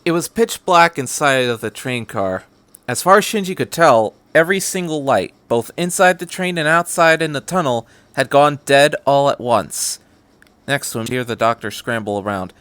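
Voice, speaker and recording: A man's voice: mid-range voice, expressive, moderate pace, a bit bright, no room sound. A man reading a piece of fan fiction aloud as a scripted story narration.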